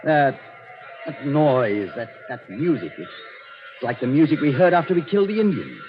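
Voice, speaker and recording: A man's voice: mid-range voice, very animated, moderate pace, faint music behind. An actor playing an arrogant, ruthless Nazi colonel with fear under his pomp, in a 1940s radio drama style on an old recording. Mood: panicked, desperate. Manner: pompous, commanding, theatrical. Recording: dull 1940s radio recording, faint noise.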